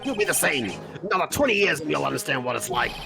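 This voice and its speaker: A man voicing a crotchety, lecherous old man with creaky, melodramatic outbursts in a fan dub of a game. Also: a little high, lively intonation, quick pace, music in the background. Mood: indignant.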